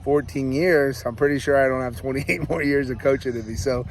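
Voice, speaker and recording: A man of about forty, a high school coach, giving casual interview answers. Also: fairly even intonation, brisk, vocal fry. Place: outdoors by a sports field. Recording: outdoor field recording with background voices.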